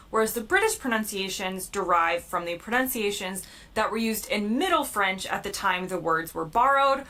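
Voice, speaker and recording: A woman's voice: mid-range voice, a bit bright. A woman with an American accent, narrating a scripted explainer with upbeat, playful emphasis. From United States. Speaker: video creator. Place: played from a video.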